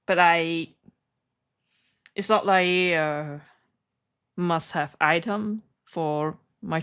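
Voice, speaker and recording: A woman speaking as a live stream trading host, chatting casually in a giggly, hesitant, halting way. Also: on the low side, fairly bright tone, clean tone. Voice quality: non-native English.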